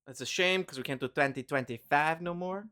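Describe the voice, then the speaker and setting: A man's voice: a little high, brisk, pushed and bright, smooth. A man hosting a podcast in casual chat, joking and rambling with lots of fillers and restarts. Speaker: podcast host.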